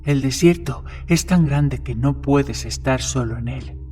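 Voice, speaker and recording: A man's voice: mid-range voice, a little slow, warm tone, faint music behind, lightly acted. A man playing a serious young man who tells eerie legends in a horror story, earnest and ominous. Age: young adult.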